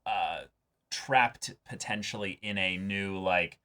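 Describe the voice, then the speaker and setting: A man's voice: low voice, slow pace, sharp tone. A man co-hosting a reaction show, explaining his take in casual fan discussion and often voicing imagined quotes.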